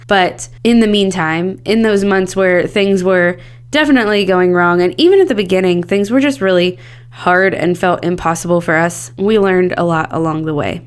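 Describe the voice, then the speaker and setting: A woman's voice: lowish voice, clear, resonant tone. A woman in her thirties hosting a solo podcast, talking in an earnest, candid and upbeat way, her voice a bit scratchy from a cold. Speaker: podcast host.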